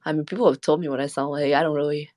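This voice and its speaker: A woman's voice: low-pitched, fairly even intonation, very fast, a little mellow, no room sound. A woman streamer chatting casually and rambling, laughing often as she talks. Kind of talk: casual late-night stream chat.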